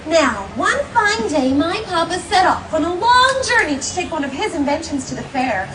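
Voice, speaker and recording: A woman's voice: very high-pitched, sing-song, normal speed, very noisy. A young woman playing a sweet, gracious storybook heroine, telling a tale to children in a theatrical, gushing, encouraging way at a live show. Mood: storytelling, animated. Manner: theatrical, gushing, encouraging, sing-song questioning. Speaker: theme park character storyteller. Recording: distant echoey live show, loud music and crowd. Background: loud music and audience of children.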